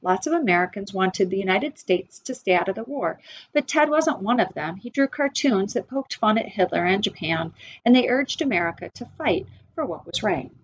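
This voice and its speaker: A middle-aged woman reading a children's picture book aloud expressively, with deliberate phrasing for young listeners. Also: medium pitch, expressive, a little hiss or hum. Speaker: read-aloud storyteller for children. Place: wood-panelled room indoors. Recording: slightly dull webcam sound.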